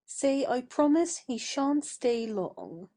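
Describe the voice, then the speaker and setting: A woman playing a composed, kindly and teasing young lady in an audio stage play, measured and gently reproving. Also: high-pitched, lively intonation, full character voice.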